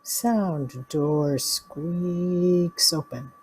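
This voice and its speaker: A woman reading out sound-effect cues in a flat, announcing way for a live radio play. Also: on the low side, extremely slow, a little hiss or hum. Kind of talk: reading stage cues aloud.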